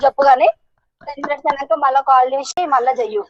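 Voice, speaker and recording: Young female on the phone, muffled lo-fi audio. Slightly high voice, casual, code-mixing English. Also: racing, no room sound. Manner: mixes English words into speech. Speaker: person receiving a phone call. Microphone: phone call. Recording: dull, lo-fi phone call audio.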